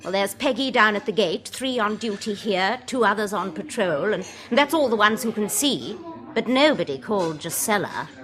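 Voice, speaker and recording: An actress playing a stern, prim, moralistic woman running a survivor community in a radio drama, brisk and formal, with a British accent. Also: medium pitch, animated, fairly bright tone, lightly acted. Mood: curt, formal.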